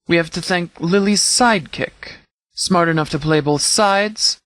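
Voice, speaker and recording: An actor playing a stern, calculating sheriff who commands a post-apocalyptic militia in a video game, speaking in a formal, measured, authoritative way. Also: male voice, a little high, fairly bright tone, dry recording. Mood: disapproving, stern. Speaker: sheriff and faction leader. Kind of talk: video game dialogue, briefing.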